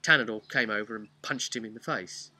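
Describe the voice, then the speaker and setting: Bright, forceful man recapping a game. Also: on the low side, fairly quick. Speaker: game master recapping a tabletop campaign. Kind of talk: casual unscripted storytelling recap.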